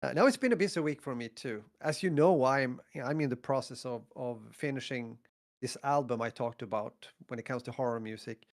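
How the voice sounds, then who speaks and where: Male podcast co-host, mid-range voice, casual and halting, full of "you know" and "I mean.". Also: medium pitch. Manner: hesitant, repeats words, stacks "you know" and "I mean". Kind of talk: casual chat.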